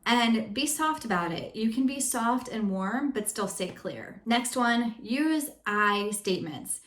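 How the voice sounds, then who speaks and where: A woman's voice: fairly high pitch, expressive, slow pace, fairly bright tone. A young woman with a Greek family background, a coach giving candid, chatty relationship advice to the camera. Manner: chatty and candid, with frequent fillers. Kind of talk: casual advice talk to camera. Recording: roomy echo.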